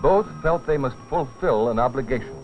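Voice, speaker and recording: A man's voice: mid-range voice, expressive, normal speed. Solemn guy narrating a dramatic safety training film, muffled lo-fi audio, bit of music behind. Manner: grave, solemn, rhetorical. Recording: dull, dated film soundtrack with music.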